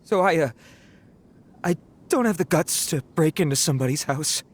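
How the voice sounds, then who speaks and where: Jumpy, high-voiced cowardly bandit. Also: male voice, animated, normal speed. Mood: nervous, sheepish. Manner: stammering, nervous, pleading. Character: cowardly young male bandit, pleading, sheepish. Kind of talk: dramatic video game voice acting.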